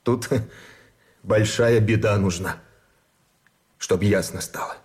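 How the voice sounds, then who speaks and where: An actor playing a frightened, gentle village teacher turned soldier in a radio drama, speaking haltingly and plaintively. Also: male voice, on the low side. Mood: wistful. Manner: halting, plaintive, repeats phrases. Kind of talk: Russian radio drama acting. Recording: music behind the voice.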